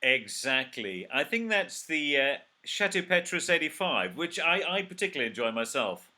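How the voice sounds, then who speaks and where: A man's voice: fairly high pitch, very animated, moderate pace, bright, forceful tone. A man hosting an interview over a video call. He sounds enthusiastic and jokes with his guest. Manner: enthusiastic, joking, upbeat. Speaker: host. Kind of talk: remote interview chat.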